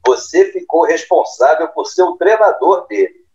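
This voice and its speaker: A man's voice: a little high, moderate pace, darkish tone, natural voice. Man acting stern boss on muffled phone. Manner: scolding, imperious. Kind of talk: audio drama acting. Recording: muffled phone call sound.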